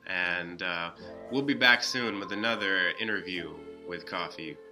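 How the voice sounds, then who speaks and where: A young man hosting a casual interview, speaking in halting, hesitant phrases. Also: low-pitched, over music. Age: young adult. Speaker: interview host, playwright. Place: indoors, small hall with cinder-block walls.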